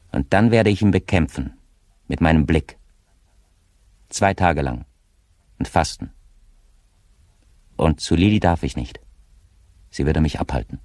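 An actor playing a mentally disturbed, paranoid magistrate, brooding and halting as he reads his haunted diary in an audio play. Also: low voice, a little mellow, dry recording, full character voice. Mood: obsessed, resolute.

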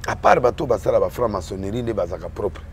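Homme originaire du Congo qui parle en mélangeant le français et le lingala, sur un ton emphatique, en répétant ses mots dans une discussion politique. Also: male voice, fairly low pitch, expressive, quick pace, slight background noise. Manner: mixes French and Lingala, emphatic, repeats words. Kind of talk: animated political chat.

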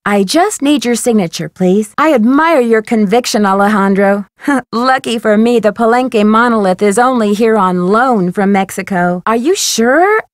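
A young female detective, voiced by an actress for a mystery game. Her voice is high and lively, close and dry. She is polite but persistent, questioning with crisp, expressive curiosity. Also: moderate pace, no echo, lightly acted. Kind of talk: video game dialogue acting.

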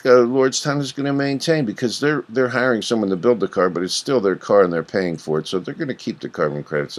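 A man giving informal, unscripted news commentary in a halting, rambling way, often circling back to restate himself. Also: deep voice. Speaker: video host commenting on business news.

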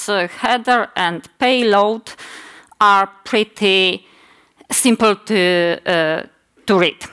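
A woman in her forties with a Polish accent, a native Polish speaker giving a technical conference talk on a hall stage at a lectern mic, measured and often pausing to correct herself. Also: mid-range voice, a bit bright. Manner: measured, with frequent hesitations and self-corrections.

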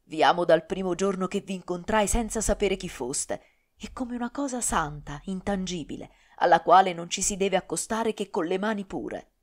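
An earnest young nobleman torn between love and duty, speaking tenderly and solemnly, as a woman reader voices him. The tone stays low-set and measured, a figure from a storybook romance. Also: natural voice. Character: young nobleman, tormented lover, earnest and dutiful.

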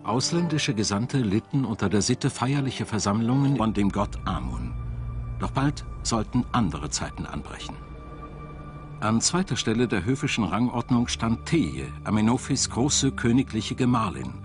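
Guy narrating a documentary, lowish voice, very varied intonation, music playing behind him. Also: very animated, normal speed. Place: voice-over studio.

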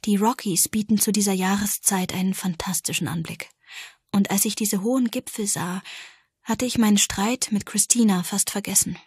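A woman reading an audiobook, telling a first-person story in an expressive, scripted narration. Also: medium pitch, moderate pace, slightly rough, no room sound, studio quality.

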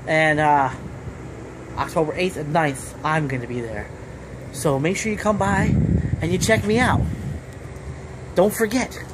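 A man's voice: on the high side, unhurried, long pauses, background noise. A man, vlogging casually outdoors on a phone held at arm's length, rambling with lots of fillers and "okay?". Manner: rambling, filler-heavy, keeps saying okay. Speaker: trucker vlogger. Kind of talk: casual vlog promo. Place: outdoors under a building awning. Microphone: phone camera at arm's length.

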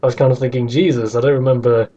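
A man hosting a podcast, chatting casually and with opinions about video games, in an animated way. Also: medium pitch, very fast, dull audio. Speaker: podcast host.

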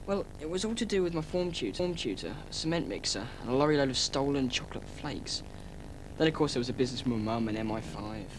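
A man's voice: mid-range voice, expressive, fast talker, close to an everyday voice. A young male actor playing a cheeky, boastful teenage boy who spins tall tales and schemes to start a band, in a 1990s children's TV drama. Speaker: band member. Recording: noisy old VHS tape.

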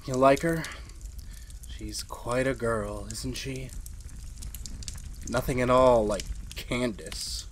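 A woman's voice: extremely low pitch, slow, pauses often, slight background noise. A woman playing a nervous, henpecked husband in a retro radio drama, confiding hesitantly and getting flustered. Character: nervous, henpecked husband, a university history teacher. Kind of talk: retro 1940s radio drama, first-person narration.

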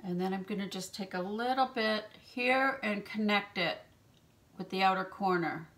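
A woman in her fifties hosting a makeup tutorial from a small room at home, explaining each step in a gentle, chatty way and clearing her throat now and then from allergies. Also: medium pitch, normal speed. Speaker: beauty channel host.

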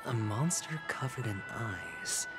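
An actor playing a sly, suave young man in a video game, measured and theatrical in manner. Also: lowish voice, expressive. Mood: pensive. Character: sly, suave young gentleman gambler, smoothly calculating. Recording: music behind the voice.

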